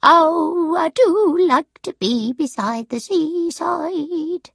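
A woman voicing a grand, moody, eccentric eighty-year-old lady in a story, with a high, drawn-out delivery. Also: sing-song, no room sound, studio quality, heavily acted. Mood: singing wildly.

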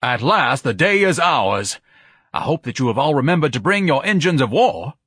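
Casting: bombastic, paranoid large man; grandiose audiobook character, husky, swooping delivery, raised pitch. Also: sing-song, moderate pace, a bit bright, no room sound, clean studio sound. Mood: grand. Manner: pompous, ornate, declamatory. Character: pompous, bellowing, paranoid large man, grandiose and easily panicked.

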